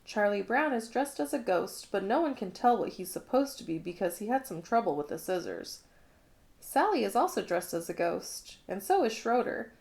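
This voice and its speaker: A woman narrating a children's picture book in an expressive read-along style. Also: mid-range voice, moderate pace, vocal fry. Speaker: storybook reader.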